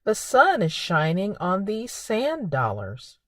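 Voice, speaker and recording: A woman hosting a preschool storytime, speaking in simple, expressive phrases for young children. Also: medium pitch, slow, dry recording, studio quality. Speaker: children's librarian and storytime host. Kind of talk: preschool storytime reading and narration.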